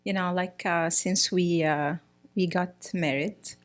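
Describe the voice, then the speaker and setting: A middle-aged woman from Egypt talks in a relaxed interview. Her voice sits fairly low, and she keeps stopping to search for words with lots of "uh" and "you know." She speaks plainly, in an unhurried, conversational way. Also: soft background music. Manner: hesitant, many fillers and restarts. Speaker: guest, priest's wife.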